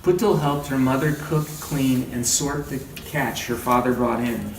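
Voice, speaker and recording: A man's voice: mid-range voice, measured pace. Guy doing a read-aloud class, chatty and encouraging, kinda far from the mic w noise behind him. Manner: encouraging, conversational, repeats listeners' answers. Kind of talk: interactive read-aloud teaching. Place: indoor group training session. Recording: roomy, distant, clearly noisy room recording.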